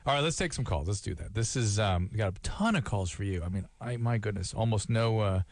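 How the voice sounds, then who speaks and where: Fast-talking male radio host. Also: fairly low pitch, lively intonation, no echo. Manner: quick, joking, chatty. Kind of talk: casual interview chat.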